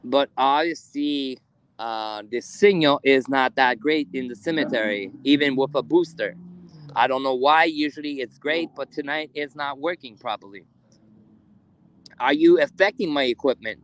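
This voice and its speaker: A man hosting a live ghost hunt in a cemetery at night, speaking haltingly with many fillers as he calls out to spirits. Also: medium pitch, a little hiss or hum. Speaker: ghost hunter streaming live. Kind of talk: live paranormal investigation stream.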